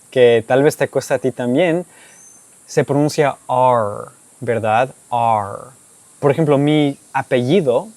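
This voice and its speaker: A young man from Texas speaks Spanish with a noticeable American accent, his first language being English. His voice is mellow and dark at a mid pitch, recorded dry and close. He tells stories in an easygoing way, restarting phrases and laughing softly. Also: dry recording. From United States (Texas). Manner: relaxed storytelling with frequent restarts and soft laughs. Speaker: language-learning YouTuber. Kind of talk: casual Q&A monologue to camera.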